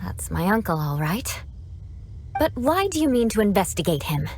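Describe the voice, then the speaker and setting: A haughty vengeful knight. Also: female voice, mid-range voice, normal speed, a little hiss or hum. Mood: cool. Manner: cool, haughty, deadpan. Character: proud young aristocratic woman knight bent on vengeance. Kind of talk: game cutscene voice acting. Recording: game cutscene with loud music.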